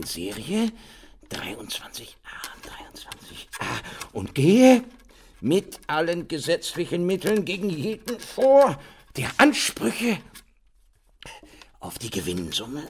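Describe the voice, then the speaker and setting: An actor playing an indignant, excitable middle-aged French father in a radio drama, insistent and husky. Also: mid-range voice, a little hiss or hum, full character voice. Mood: indignant, dictating.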